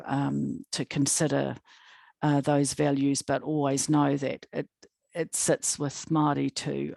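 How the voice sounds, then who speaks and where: A woman speaking reflectively on an online panel, halting and repeating words as she thinks aloud. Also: low voice, gravelly, darkish tone, no echo. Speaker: project partner. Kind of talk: online webinar panel discussion. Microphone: video call.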